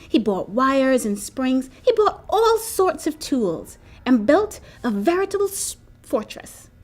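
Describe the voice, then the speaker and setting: Lively, high-voiced woman reading aloud. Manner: expressive, animated storytelling. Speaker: storybook reader. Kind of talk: children's read-aloud.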